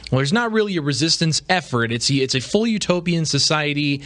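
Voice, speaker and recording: A man's voice: on the high side, lively intonation, fairly quick, a bit bright. A man hosting a podcast with casual solo commentary, talking informally and conversationally with rhetorical asides. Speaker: podcast host.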